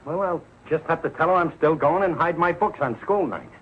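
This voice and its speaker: A man's voice: a little high, lively intonation, brisk, lightly acted. A man playing a bumbling, evasive working-class husband and father in a 1940s television comedy, blustering and sheepish, with sloppy grammar. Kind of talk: 1940s television sitcom acting. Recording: muffled, noisy old 1940s TV soundtrack.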